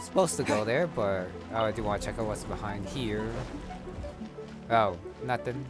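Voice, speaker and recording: A man giving casual game commentary, thinking aloud in a low-key way as he plays. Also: moderate pace. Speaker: gamer, playthrough host. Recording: voice over loud game music. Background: loud game music.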